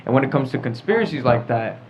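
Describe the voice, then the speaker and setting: A man's voice: mid-range voice, very animated, fast, a little mellow, soft background music. A teenage male podcast host leading a casual group discussion, often checking in with "right?" as he explains and prompts. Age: teens. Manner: prompting with frequent "right?" tags. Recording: dull lo-fi audio, background noise.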